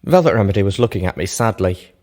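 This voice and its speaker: Deep-voiced man narrating a story. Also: animated, fast, darkish tone. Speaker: narrator of a fan fiction reading show. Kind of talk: first-person scripted story narration.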